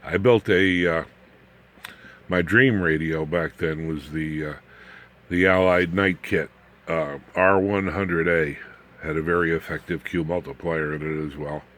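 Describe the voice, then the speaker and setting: A man's voice: rumbling low, steady tone, unhurried, fairly bright tone. An American man in his seventies giving a casual, unscripted workshop demonstration, rambling in a folksy way with self-deprecating asides to the viewer. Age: 70s. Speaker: ham radio hobbyist and video host. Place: home workshop.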